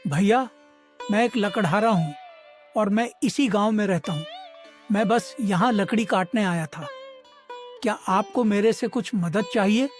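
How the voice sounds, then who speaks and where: A man's voice: sits high, a little slow, faint music behind. A man voicing a poor, humble middle-aged village woodcutter in a cartoon, speaking in a pleading, deferential way. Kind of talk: Hindi moral cartoon dialogue.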